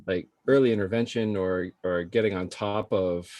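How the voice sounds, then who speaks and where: A man's voice: low-pitched, steady tone, moderate pace, darkish tone, no echo. A man explaining things to parents in a casual, loosely phrased way as a program facilitator. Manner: informal, hedging with frequent "like". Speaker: early childhood program facilitator.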